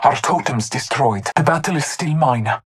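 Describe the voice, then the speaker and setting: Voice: mid-range voice, animated, normal speed, natural voice. An actor playing a devout, honor-bound masked hunter warrior in a video game, speaking in formal, solemn callouts. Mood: reverent, resolute.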